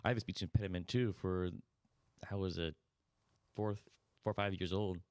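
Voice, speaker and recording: Male co-host, stutter, low smooth voice, dry close mic, halting delivery. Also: fairly even intonation, darkish tone, no room sound. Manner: halting, repeats words. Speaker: podcast co-host. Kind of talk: casual chat.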